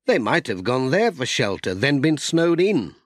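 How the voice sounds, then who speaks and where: A man's voice: mid-range voice, sing-song, moderate pace, dry recording, clean studio sound. A man voicing a kindly, concerned village postman, friendly and practical, in a children's story. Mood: helpful.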